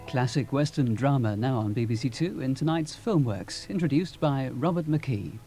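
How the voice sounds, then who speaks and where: Male continuity announcer, warm, formal and measured. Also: medium pitch, animated, soft background music.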